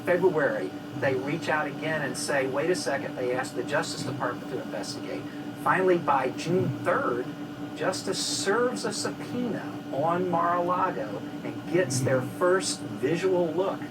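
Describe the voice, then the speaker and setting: A man commenting as an expert guest on a news show, telling events like an animated storyteller. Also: on the high side, a little slow. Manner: animated storytelling, acts out quotes. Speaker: expert guest from an archive research group. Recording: noisy, distant-sounding audio.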